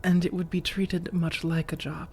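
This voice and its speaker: A woman playing an elegant, long-lived female vampire in a soft-spoken ASMR roleplay, breathy and often whispering, with measured, formal, persuasive phrasing. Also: on the low side, little pitch movement, a little hiss or hum, lightly acted. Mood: reassuring. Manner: measured, deliberate, formal, coaxing.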